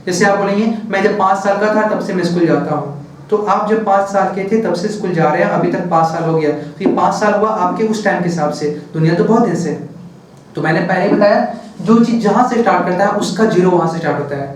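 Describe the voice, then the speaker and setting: A male teacher lectures on physics at a board, his voice pitched high. He talks slowly and step by step, asks questions and answers them himself. He sounds distant from the mic, in a very echoey room with faint background noise.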